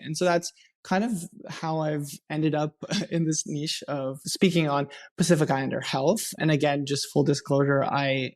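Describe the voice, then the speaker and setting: A man from Hawaii, a radiation oncologist, telling his personal story on a podcast in an earnest, unhurried way. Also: fairly high pitch, creaky.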